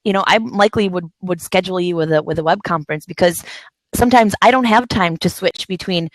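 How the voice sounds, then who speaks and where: A woman's voice: lowish voice, quick pace, no echo, poor audio. A woman from the San Francisco area hosting a casual video chat, talking in loose, rambling sentences full of fillers. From San Francisco Bay Area. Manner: rambling, many fillers and restarts. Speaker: host of a live video chat.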